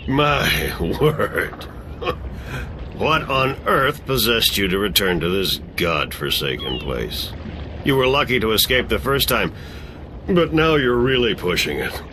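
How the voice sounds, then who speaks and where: A man's voice: fairly low pitch, very animated, slow pace, pushed and bright, a little hiss or hum, exaggerated voice. An actor playing a sardonic middle-aged exile who laughs at his own jokes and loves whiskey.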